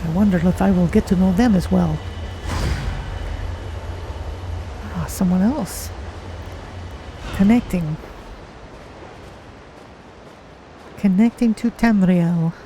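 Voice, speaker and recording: A woman voicing a curious, polite cat-person adventurer, musing in measured, formal words as she plays a video game. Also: fairly low pitch, background noise, lightly acted. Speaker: game streamer. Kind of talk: in-character gameplay commentary.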